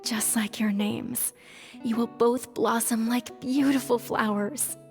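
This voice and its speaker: Gentle, slow-speaking mother. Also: a little high, steady tone. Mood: tender, consoling. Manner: tender, soothing. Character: gentle, reassuring mother of two young daughters. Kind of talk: dramatic video game voice acting. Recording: long reverb, music underneath. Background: music.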